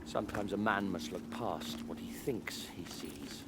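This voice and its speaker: An actor playing a measured, sagely Roman military superior in a video game cutscene, speaking deliberately. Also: male voice. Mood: reflective, approving. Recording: clear background noise, rumbling ambience.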